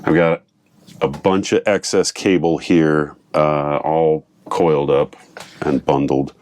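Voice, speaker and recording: Very deep-voiced American man. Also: fairly even intonation, takes it slowly, darkish tone, no echo. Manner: informal, thinking aloud. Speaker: boat owner presenting a DIY installation. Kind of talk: casual explanatory vlog while working. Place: small wooden boat cabin. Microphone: clip-on lavalier on the chest.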